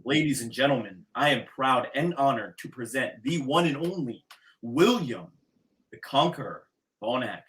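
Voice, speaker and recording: A man's voice: mid-range voice, expressive, normal speed, a bit bright, low-quality recording. A man hosting an interview podcast with an emphatic, theatrical delivery that draws out words like an announcer. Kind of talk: showy guest introduction and casual interview talk.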